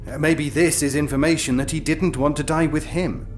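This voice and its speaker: An actor playing a thoughtful, inquisitive mayor who muses hesitantly in an audio drama. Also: male voice, a little high, fairly even intonation, normal speed, faint music behind, close to an everyday voice. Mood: pondering. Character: thoughtful, inquisitive male city mayor, musing aloud. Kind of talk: full-cast audio drama acting.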